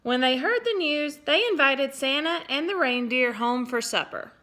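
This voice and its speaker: Bright, expressive woman reading aloud. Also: high-pitched, moderate pace. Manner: expressive, with playful asides to listeners. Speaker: teacher. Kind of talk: storybook read-aloud for children.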